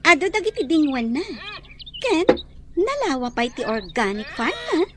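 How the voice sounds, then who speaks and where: Young sorrowful woman acted by a woman, audio drama, high pitch, very lively intonation, noisy birdsong backdrop. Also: moderate pace. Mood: curious. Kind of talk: Ilocano audio drama acting. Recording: noisy track with bird chirps.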